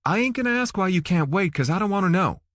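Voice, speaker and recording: Man voicing a high-pitched sassy, folksy woman. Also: animated, quick pace, fairly bright tone, no room sound, clean studio sound. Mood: brisk, guarded. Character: Zoe, folksy sassy Southern-talking woman, teasing.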